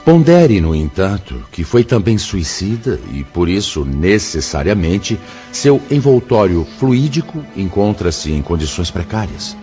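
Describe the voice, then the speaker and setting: An actor playing a wise, grave spirit mentor in a radio drama, speaking in a measured, formal, fatherly way. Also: sits low, very animated, mellow. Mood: grave, counselling. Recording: slightly muffled radio drama with music.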